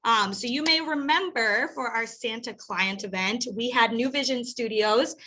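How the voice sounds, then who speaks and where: A woman's voice: a little high, normal speed, sharp tone. A woman hosting a casual team meeting, upbeat and chatty. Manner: upbeat, laughs often. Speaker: meeting host. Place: office meeting room.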